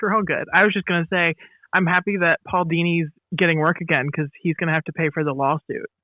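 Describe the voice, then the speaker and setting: A man's voice: on the high side, steady tone, quick pace, no echo. A man co-hosting a podcast, chatting casually and jokingly. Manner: joking, informal. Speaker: podcast co-host. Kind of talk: casual chat. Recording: tinny phone-like connection.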